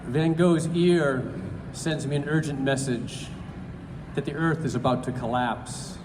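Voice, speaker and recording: A man at a live event, reading poetry slowly and deliberately, with halting remarks full of fillers in between. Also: medium pitch. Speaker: poetry reader. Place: large event hall. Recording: roomy hall with background noise.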